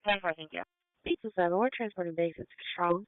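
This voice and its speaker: Quick female dispatcher on tinny radio. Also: lowish voice, smooth, no echo. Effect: two-way radio. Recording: tinny narrow-band police scanner.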